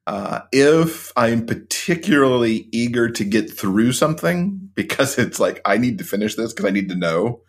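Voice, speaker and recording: A man's voice: mid-range voice, expressive, normal speed, hardly any pauses, slightly rough. A man as an interview guest, talking about books in an earnest, enthusiastic, casual way. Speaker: podcast co-host, guest.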